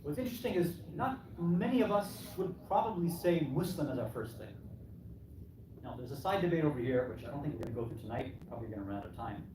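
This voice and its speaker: A man's voice: on the high side, expressive, fast talker. A man preaches to a congregation in a fairly distant, noisy recording with strong room echo. He talks colloquially, posing rhetorical questions and acting out the answers people might give. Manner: colloquial, checking in with "right?" and voicing imagined replies. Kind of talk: conversational English sermon with rhetorical questions. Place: Islamic center hall. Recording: echoey hall, distant mic, clearly noisy.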